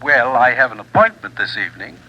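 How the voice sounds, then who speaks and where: A man's voice: medium pitch, expressive, brisk, pushed and bright, highs cut off. An actor playing a hesitant, guarded male doctor speaking over the telephone. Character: uneasy male doctor on the phone.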